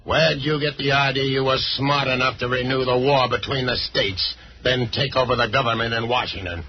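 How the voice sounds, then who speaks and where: A man's voice: medium pitch, fairly even intonation, normal speed, lightly acted. A dull, hissy old radio western recording carries a man acting a ruthless outlaw boss. He is menacing and commanding, bearing down on his men with accusation. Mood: angry, commanding. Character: ruthless, vicious male outlaw boss plotting a takeover.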